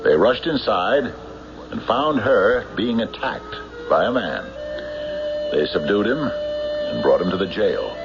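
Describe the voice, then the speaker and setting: A man playing a tough, skeptical sheriff in an old radio drama, measured, dry and probing, on a muffled recording. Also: on the low side, huge pitch swings, over music, exaggerated voice. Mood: brooding narration. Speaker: sheriff narrating his own investigation. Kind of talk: old-time radio drama acting and narration.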